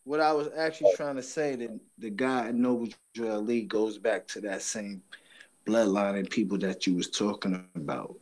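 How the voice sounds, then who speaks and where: A man's voice: lowish voice, normal speed, a little mellow, poor audio. A man in a heated informal group debate, speaking insistently and emphatically and repeating himself to drive his points home.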